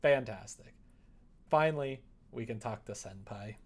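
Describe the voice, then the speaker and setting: A man's voice: fairly low pitch, measured pace. A young man livestreaming casual, self-deprecating commentary, muttering as he thinks aloud while editing a script. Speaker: streamer, visual novel developer.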